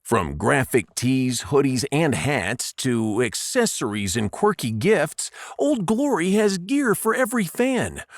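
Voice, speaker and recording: A man's voice: a little high, no room sound, clean studio sound. A man voicing an emphatic, sarcastic, commanding starship captain in a comic audiodrama.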